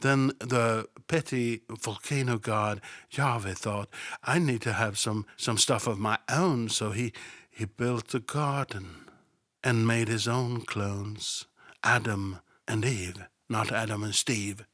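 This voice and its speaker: A man's voice: lowish voice, steady tone, takes it slowly, fairly bright tone, no echo, studio quality. A man hosting a satirical Bible commentary podcast, sardonic and mocking, sometimes dropping to a whisper for asides. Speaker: podcast host.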